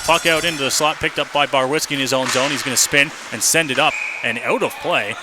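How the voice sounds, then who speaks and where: A man doing live radio play-by-play of a hockey game, in clipped running descriptions that can build to excited shouting. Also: mid-range voice, quick pace. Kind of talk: live radio sports commentary. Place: indoor hockey arena. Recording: noisy live arena broadcast. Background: loud rink ambience.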